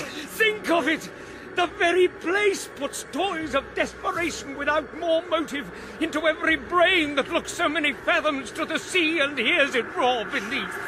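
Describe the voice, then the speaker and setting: High-voiced alarmed loyal companion. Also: male voice, poor audio. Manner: urgent, theatrical. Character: alarmed loyal companion of a prince, pleading, protective. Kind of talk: classical radio drama acting.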